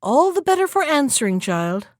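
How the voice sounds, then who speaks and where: Cunning storybook wolf playing frail grandmother, sly and coaxing, very animated intonation, acted by a woman. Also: high-pitched, normal speed, no room sound, clean studio sound, voice pushed up. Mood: slyly menacing. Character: cunning male wolf disguised as an old granny.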